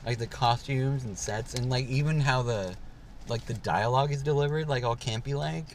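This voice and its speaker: A young man at a mid-range pitch talks loosely from the seat of a parked car, piling "like" and "um" into his sentences. A faint hiss of ambient noise sits under the voice. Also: moderate pace. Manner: rambling, lots of "like" and "um". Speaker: podcast co-host. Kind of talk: casual chat.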